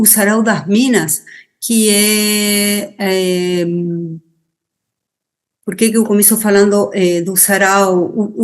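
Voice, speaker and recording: Argentine woman, spanish accent, lots of um and restarts, tacks on little tag questions, mid pitch. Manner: hesitant, many fillers and restarts, tag questions. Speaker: interview guest, poet and activist. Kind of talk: reflective interview talk.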